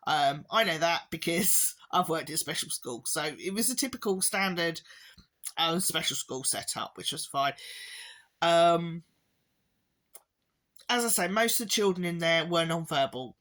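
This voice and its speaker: A woman with a hoarse, fading voice from a chesty cough, giving a rambling, casual update to her viewers with wry little laughs. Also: lowish voice, lively intonation, measured pace, a bit bright. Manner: rambling, with many ums and restarts, occasional wry laughs. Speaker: parent vlogger. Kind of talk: casual spoken update to camera.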